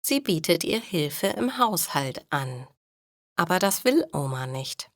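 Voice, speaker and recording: A woman's voice: low-pitched, very animated, slow, no echo, studio quality. A woman narrating scripted stories for language learners, with careful, didactic enunciation.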